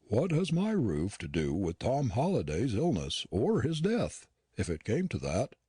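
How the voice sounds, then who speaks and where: A man voicing a curt, disdainful gentleman dentist who talks down to his housekeeper. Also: mid-range voice, huge pitch swings, measured pace, breathy edge, no echo, studio quality, pitched above the performer's own voice, heavily acted. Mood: curt scornful. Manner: curt and scornful.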